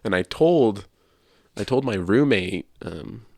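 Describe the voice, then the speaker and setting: Low gravelly guy chatting on a gaming podcast, close dry sound. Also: animated, takes it slowly, darkish tone, no echo. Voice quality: low creaky voice. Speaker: podcast co-host. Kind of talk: casual podcast chat about video games.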